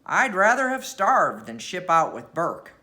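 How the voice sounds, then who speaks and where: A woman voicing a gruff, skeptical sailor man in a storybook, speaking bluntly and emphatically. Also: lowish voice, big pitch swings, unhurried, sharp tone, exaggerated voice. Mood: grim. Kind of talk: storybook character voice.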